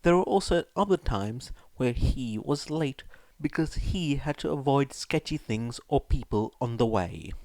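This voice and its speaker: A man's voice: mid-range voice, darkish tone, no room sound. A man reading a story aloud as an audiobook narrator, in scripted narration.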